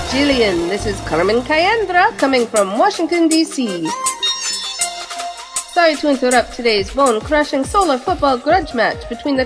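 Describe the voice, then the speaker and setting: Actress as a flirty female news anchor, high lively voice, showy, audio drama, loud music behind. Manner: perky, showy, coquettish. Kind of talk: mock newscast in audio drama.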